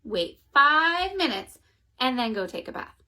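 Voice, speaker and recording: A calm, matter-of-fact female doctor from a storybook, voiced by a woman reading aloud gives instructions steadily. Her voice is bright and lively in pitch. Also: measured pace, exaggerated voice.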